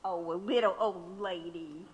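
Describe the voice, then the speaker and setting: A woman's voice: fairly low pitch, fairly even intonation, measured pace, natural voice. An actress playing a defiant, sarcastic woman activist in her sixties in a radio drama. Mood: mocking, wry. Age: 60s. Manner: sarcastic, mocking, oratorical. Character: defiant, sharp-tongued 66-year-old woman activist under arrest. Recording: reverberant hall-like room.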